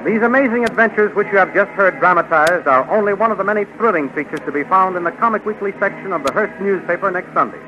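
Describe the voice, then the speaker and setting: High-pitched 1930s American radio announcer man. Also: expressive, fast, hardly any pauses, music in the background. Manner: declamatory, promotional. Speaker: announcer and narrator. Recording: dull 1930s radio recording.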